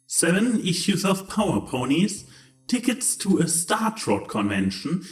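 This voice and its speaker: A man's voice: a little high, reverberant. A man playing a smug, accusing male changeling who gloats theatrically in a dramatised story reading. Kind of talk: dramatised fan fiction reading.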